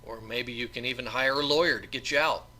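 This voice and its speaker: A man's voice: medium pitch, a little fast, pushed and bright. A man voicing a demented convict assassin in an audiobook, crude, bragging and taunting like a predatory bully.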